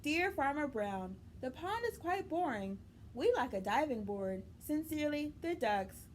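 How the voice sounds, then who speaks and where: A woman voicing polite but firm farm animals in a children's storybook, reading out their letters in a formal, measured way. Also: high voice, big pitch swings, a little hiss or hum. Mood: politely bargaining.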